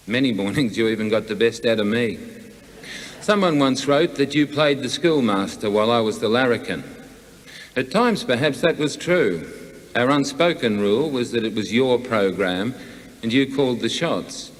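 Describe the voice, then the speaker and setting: A man's voice: medium pitch, expressive, normal speed. Australian man giving a eulogy, echoing hall. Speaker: eulogist, broadcast colleague. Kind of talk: prepared eulogy addressed to a late friend. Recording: long hall reverb.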